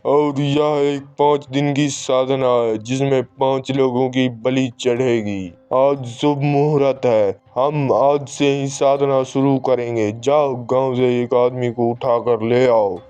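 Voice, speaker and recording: A scheming sorcerer, played by a man, lays out his ritual in a slow, solemn, mellow voice for a horror cartoon. Soft music runs faintly behind him. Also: medium pitch, fairly even intonation, smooth, no room sound, close to an everyday voice. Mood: bargaining. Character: calculating male tantric sorcerer, twin brother.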